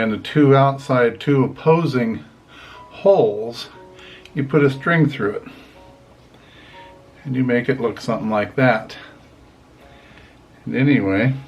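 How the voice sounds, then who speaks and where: An older man, a grandfather talking to children in a folksy, rambling, casual storytelling way. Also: medium pitch. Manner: folksy, rambling, often saying "anyway". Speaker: grandfather reading picture books to children.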